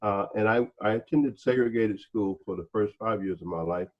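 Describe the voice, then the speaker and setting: A man speaks reflectively in a discussion, halting and deliberate, often repeating words as he searches for the next one. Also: lowish voice, muffled recording. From North Carolina, United States. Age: 60s. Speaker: panel speaker, former special agent.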